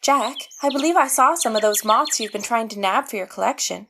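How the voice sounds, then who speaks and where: A woman's voice: a little high, a little fast, background noise, close to an everyday voice. A woman acting in an audio drama as a naturalist's earnest, guarded sister, speaking formally and with composed urgency. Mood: polite.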